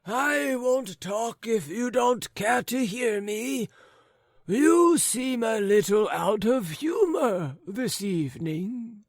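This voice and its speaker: A man voicing a forgetful, rambling old gentleman who coughs and talks in a wheedling, quavering chant. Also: expressive, no room sound, studio quality, exaggerated voice. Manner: high, quavering, drawn-out chant.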